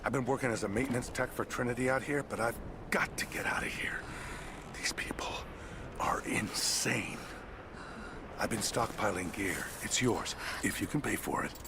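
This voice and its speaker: Man acting a nervous, hushed turncoat technician. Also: medium pitch, moderate pace, in a whisper, natural voice. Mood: nervous, conspiratorial. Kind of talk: video game voice acting. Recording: clear background game noise.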